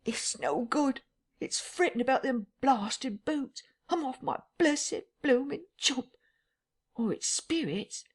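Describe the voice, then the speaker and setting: A woman's voice: expressive, slow pace, breathy edge, exaggerated voice. A woman reading an audiobook voices a bewildered, easily rattled male tramp who exclaims in slang. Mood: dazed. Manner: exclaiming, slangy, high and drawn out. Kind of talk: audiobook character voice.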